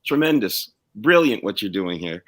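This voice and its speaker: A man's voice: lowish voice, very animated, moderate pace, fairly bright tone. A man chatting casually as he introduces himself for an audition, with an eager manner. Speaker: auditioning singer-songwriter. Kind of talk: casual chat, self-introduction.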